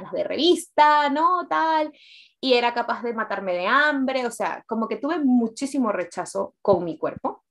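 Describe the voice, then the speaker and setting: Higher-voiced young Peruvian woman. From Peru. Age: late twenties. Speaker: interview guest. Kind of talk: candid personal storytelling.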